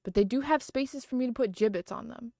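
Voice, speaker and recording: A woman's voice: on the high side, a little fast, warm tone, dry recording, studio quality. A woman livestreaming from home, chatting casually and rambling with lots of fillers and restarts. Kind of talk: casual chat.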